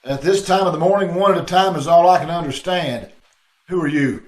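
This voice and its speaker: A man's voice: fairly high pitch, fairly quick, natural voice. A man playing a glib, wisecracking amateur sleuth in the style of 1940s radio detective drama, over a video call. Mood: cool, assured. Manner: glib, bantering. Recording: roomy, echoing video-call audio.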